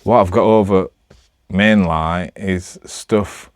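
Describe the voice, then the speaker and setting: A man's voice: low-pitched, steady tone, slow pace, smooth, no echo, clean studio sound. A man with a Northern English accent chatting casually to camera, rambling in a hesitant, self-deprecating way. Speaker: amateur vlogger.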